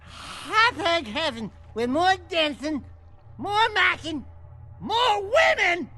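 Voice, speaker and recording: A small, cocky male critter from a video game cutscene. The voice is high and very animated, drawling its wisecracks at an unhurried pace. He is brash and always clowning. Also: full character voice. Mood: boastful.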